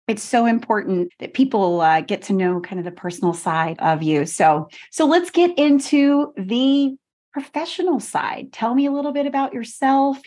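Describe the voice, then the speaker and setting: A woman's voice: on the high side, a little mellow. Woman host, effusive and full of praise, conversational. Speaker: interview host. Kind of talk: interview conversation.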